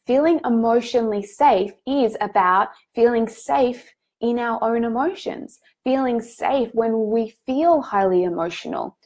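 A woman with a head cold, sounding a bit nasal, hosting a live group coaching call in a gentle, reassuring way with frequent ums and restarts. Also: a little high, unhurried, few pauses.

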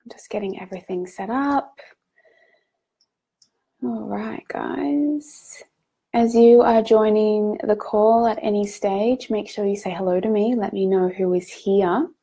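A woman with a head cold, sounding a bit nasal, hosting a live group coaching call in a gentle, reassuring way with frequent ums and restarts. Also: medium pitch, fairly even intonation, measured pace, vocal fry, breathy edge.

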